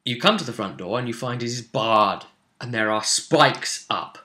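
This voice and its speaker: A man acts as game master, telling the story of a tabletop session. His voice is bright and forceful at a middling pitch. He talks casually and haltingly, circling back over phrases as he builds the scene.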